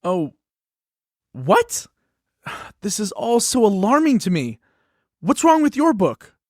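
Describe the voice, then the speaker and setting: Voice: medium pitch, wildly swooping pitch, slow pace, no echo, clean studio sound, full character voice. An actor in a musical puppet show voicing an anxious, earnest children's book that pleads its case expressively. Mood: alarmed, dismayed. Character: earnest, anxious award-winning children's book facing a ban.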